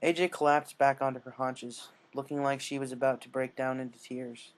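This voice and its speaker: A man's voice: mid-range voice, little pitch movement, normal speed. A man reading a story aloud as scripted dramatic narration. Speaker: story reader.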